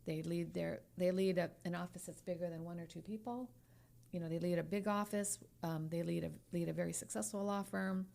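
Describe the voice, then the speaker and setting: Woman, 60s, lawyer co-host. Lowish, gravelly voice, frank, emphatic delivery. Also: fairly even intonation, a little fast, darkish tone. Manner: emphatic and frank, repeats phrases while searching for words. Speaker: podcast co-host, trial lawyer. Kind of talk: candid podcast conversation.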